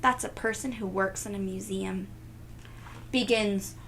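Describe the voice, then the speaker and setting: A woman reading a picture book aloud to young children, expressive and stopping to explain things simply. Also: medium pitch, measured pace, a little hiss or hum. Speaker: read-aloud storyteller.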